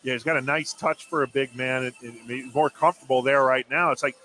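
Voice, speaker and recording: A man's voice: mid-range voice, fairly even intonation, brisk, sharp tone. Male basketball color commentator, faint background noise. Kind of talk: conversational live sports analysis. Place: at a basketball game in a gym.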